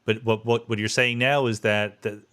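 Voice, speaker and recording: A man's voice: lowish voice, little pitch movement, normal speed, a bit bright, smooth. A man in his fifties from the US East Coast with an American accent, hosting a conversational interview and thinking aloud as he frames questions. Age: 50s. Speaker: podcast host.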